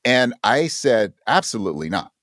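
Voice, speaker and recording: A man of average pitch talks as a guest in a casual podcast interview, with very lively intonation. He is earnest and emphatic and often voices imagined remarks as he explains. The sound is close and dry, without room echo. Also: normal speed. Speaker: podcast guest and audio drama creator.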